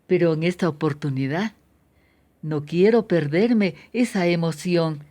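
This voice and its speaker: An older woman speaks reflectively about herself. She takes her time, with a slow, measured pace. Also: on the low side, warm tone. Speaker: aspiring sports narrator.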